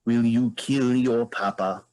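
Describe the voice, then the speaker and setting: A man voicing an irritable, scheming moose queen in a staged comedy reading, dry and deliberate. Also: mid-range voice, fairly even intonation, a little mellow, close to an everyday voice, low-quality recording. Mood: persuasive.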